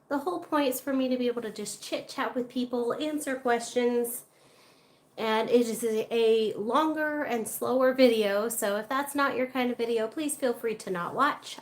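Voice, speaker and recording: A woman hosting a casual art livestream, chatting with viewers as she works, her voice a little congested. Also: a little high. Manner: chatty, talks to viewers while working. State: slightly congested. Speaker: art channel host. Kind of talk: casual livestream chat while crafting. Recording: roomy with faint room noise.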